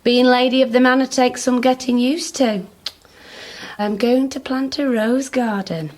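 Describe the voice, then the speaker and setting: A woman's voice: high-pitched, steady tone, measured pace, a little mellow. A woman playing a curious, playful British character with a wry manner in a naturalistic radio drama. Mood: reading aloud. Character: nosy, wry British woman rummaging through an estate. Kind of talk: naturalistic radio drama dialogue and reading aloud.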